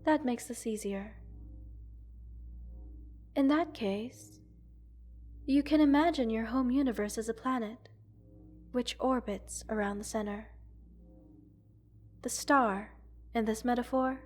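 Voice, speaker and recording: A woman voicing a gentle, curious alien scientist in an ASMR roleplay, speaking formally, precisely and reassuringly to the listener. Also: a little high, takes it slowly, long pauses, faint music behind. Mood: patient explaining. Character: gentle, curious multi-world alien scientist studying a captive human.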